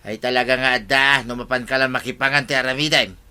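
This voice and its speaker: A man as an exasperated, very sharp-voiced Ilocano villager. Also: mid-range voice, fairly even intonation, fast talker, clear, resonant tone. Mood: exasperated, scolding. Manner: scolding, nagging. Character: exasperated village man scolding a meddlesome woman. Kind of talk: Ilocano comedy radio drama acting.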